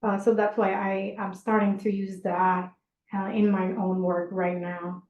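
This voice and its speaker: A woman's voice: mid-range voice, flat delivery, measured pace, a little mellow, a little hiss or hum. A woman speaking informally as an artist answering an audience about her own work. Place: indoor event space. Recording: echoey, dull lo-fi room sound.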